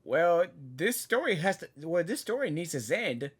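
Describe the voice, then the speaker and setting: A man giving casual gaming reactions in an excitable, repetitive, rambling manner. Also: high voice, moderate pace, husky. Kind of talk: casual live game reaction commentary.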